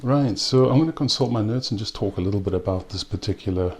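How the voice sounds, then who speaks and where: A man's voice: on the low side, a little mellow, a little hiss or hum. South African man reviewing rum. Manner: unhurried, with frequent ums and restarts. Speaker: spirits reviewer. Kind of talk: casual spoken tasting review.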